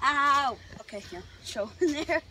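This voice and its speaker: A teenage boy vlogging outdoors in the woods on a handheld phone, chatty and excitable, cursing under his breath as he works. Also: very high-pitched, a little slow. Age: teens. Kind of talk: casual outdoor vlog. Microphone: handheld phone camera. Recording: loud outdoor noise on phone.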